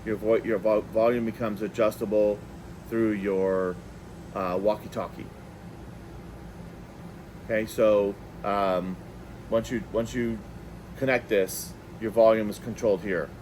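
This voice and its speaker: A man's voice: fairly low pitch, level tone, unhurried, pauses often, darkish tone. Man reviewing gear over background noise. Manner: conversational, thinking aloud. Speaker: product reviewer, video host. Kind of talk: casual unscripted tabletop product review.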